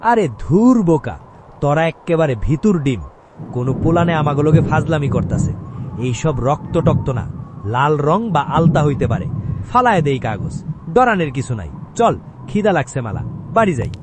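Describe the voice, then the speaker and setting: A man playing a brash cocky schoolboy, higher-pitched. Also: big pitch swings, moderate pace. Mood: scoffing. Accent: Bangladeshi Bengali dialect. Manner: brash, dismissive, slangy. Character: cocky fearless mischievous schoolboy, scoffing ringleader. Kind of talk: acted audio horror story dialogue. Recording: noisy, traffic rumble behind.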